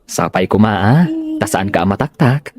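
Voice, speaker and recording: A man's voice: lowish voice, animated, normal speed, a little mellow. A man playing a courteous, smitten young suitor, polite and earnest, in a comedy radio drama. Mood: hopeful.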